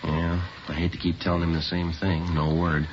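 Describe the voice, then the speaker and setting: Male actor, bottom-deep voice, clipped police detective, deadpan, matter-of-fact, vintage radio crime drama, dull recording. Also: moderate pace, a little hiss or hum, lightly acted. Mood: businesslike. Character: terse, weary, methodical male police detective narrating his case. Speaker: police detective lead and narrator. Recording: muffled vintage radio recording, music behind.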